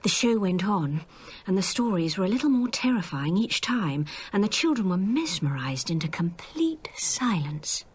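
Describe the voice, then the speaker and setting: An actress playing a gossipy, dramatic English woman telling a frightening anecdote in a radio play, often dropping to hushed tones. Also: mid-range voice, sing-song, moderate pace, husky. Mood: hushed, horrified.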